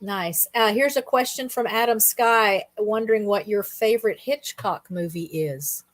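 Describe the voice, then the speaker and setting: A woman hosting a casual interview, speaking conversationally and reflectively, pausing in mid-thought. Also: medium pitch, no room sound. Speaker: host, interviewer.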